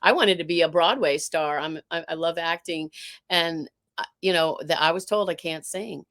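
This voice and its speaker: A woman with a low alto voice telling stories in a casual interview. She talks with animation, stumbling and restarting as her ideas run ahead, and her pitch sits on the low side for a woman. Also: normal speed. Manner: animated, storytelling, frequent restarts. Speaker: guest, art teacher. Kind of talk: casual conversation.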